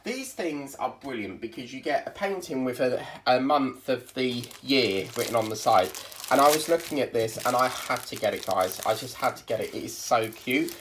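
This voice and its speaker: A British man with a croaky, bunged-up voice from a cold, hosting a casual unboxing chat and rambling with lots of ums. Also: mid-range voice, expressive, moderate pace, fairly bright tone, slight background noise, low-quality recording. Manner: chatty and rambling, many ums. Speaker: crafting channel host.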